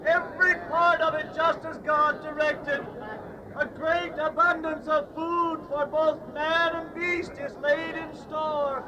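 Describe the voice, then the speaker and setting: A man's voice: very high-pitched, flat delivery, slow pace, lo-fi sound. An actor playing an earnest old prophet, a solemn man imploring people in a dramatized Bible story. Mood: pleading, solemn. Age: older. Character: earnest old male prophet pleading with sinners. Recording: distant, noisy outdoor crowd behind.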